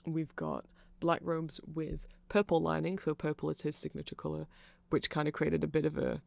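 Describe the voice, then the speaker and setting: A man with a fairly high, crackly voice talks through an ASMR explainer. The recording is very dry. He rambles and corrects himself as he goes. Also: steady tone, normal speed, gravelly, no echo. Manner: rambling, self-correcting, chuckling asides. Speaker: ASMR creator explaining band lore.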